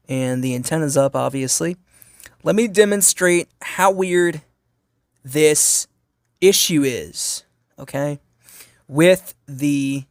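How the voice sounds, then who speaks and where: A man's voice: on the high side, no echo, studio quality. A man giving a casual hands-on gadget review as a channel host, informal and repetitive as he thinks aloud.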